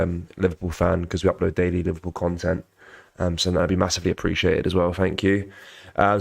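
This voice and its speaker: A young man with a cold-croaky voice hosting a casual live football watch-along, halting with many ums while reading viewer comments. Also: deep voice. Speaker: football channel host.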